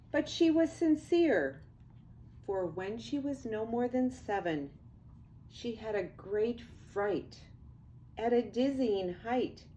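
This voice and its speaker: An older woman, a grandmother, reading a children's storybook aloud in an expressive, deliberate way. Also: a little high, a little hiss or hum, low-quality recording.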